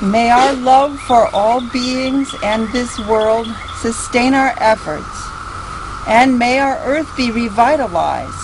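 A woman with a slightly high voice gives a slow, prayer-like reading. It is recorded outdoors, with birdsong and clear background noise. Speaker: committee member reading a statement. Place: outdoors at a rural hermitage. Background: birdsong, clear ambient noise.

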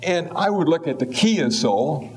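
A man's voice: on the high side, expressive, unhurried, a crowd in the background. Wry seventy-something man teaching Buddhism. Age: 70s. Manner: easygoing, wry, acts out little voices. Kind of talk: humorous dharma talk.

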